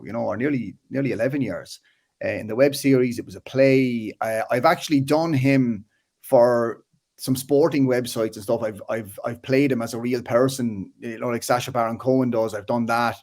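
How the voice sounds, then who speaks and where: A man in his thirties with a Waterford Irish accent, a filmmaker chatting casually as an interview guest, animated and full of restarts. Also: medium pitch, normal speed. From Ireland. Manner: animated, restarts often, frequent "like" and "you know".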